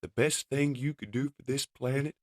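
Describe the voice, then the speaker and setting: Guy as peaceful robot dude, mid pitch, calm n firm, dry sound. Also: moderate pace, no echo, lightly acted. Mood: resolute. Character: gentle principled pacifist male robot. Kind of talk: comic dub character acting.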